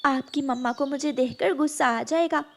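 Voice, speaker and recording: Lady doing a kind mama sparrow, motherly, high voice, faint noise behind. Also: normal speed. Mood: caring. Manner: kindly, motherly. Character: caring gentle mother sparrow.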